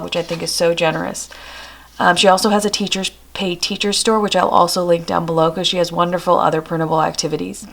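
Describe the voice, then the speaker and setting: A homeschooling mother with a hoarse voice from a cold, chatting through a friendly show-and-tell review on her vlog. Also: fairly low pitch. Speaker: homeschool vlogger. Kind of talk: casual product review.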